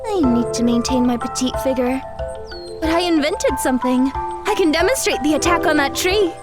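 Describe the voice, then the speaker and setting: A dainty young woman martial artist voiced by a woman talks in a high, earnest voice. She is polite and formal, with pride in her skill. Audio drama music sits clearly behind her. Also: animated, moderate pace. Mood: proud.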